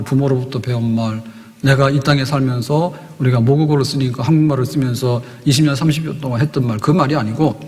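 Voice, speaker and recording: A man's voice: medium pitch, darkish tone. A man preaching a Sunday sermon as pastor, emphatic and often asking the congregation questions. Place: church sanctuary. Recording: long church reverb.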